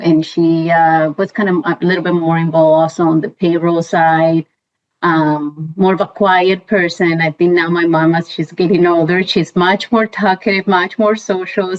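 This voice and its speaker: Guest: Colombian-accented woman, thirties, chatty, fillers, low-ish pitch, dull recording. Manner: chatty, many fillers and restarts. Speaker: guest, compliance professional. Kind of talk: casual interview. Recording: dull lo-fi sound.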